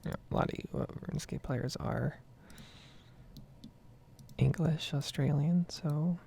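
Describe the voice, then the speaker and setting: A woman's voice: deep voice, measured pace, vocal fry, mellow, slight background noise. A young American woman in her twenties giving a rambling, casually sweary ASMR gameplay commentary close to the microphone. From United States. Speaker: gaming video creator.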